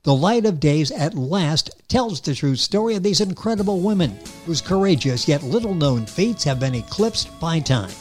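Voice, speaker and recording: A middle-aged man hosting a radio interview show, introducing his guest with an energetic, emphatic announcer delivery. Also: fairly high pitch, normal speed, hardly any pauses, breathy edge, faint music behind.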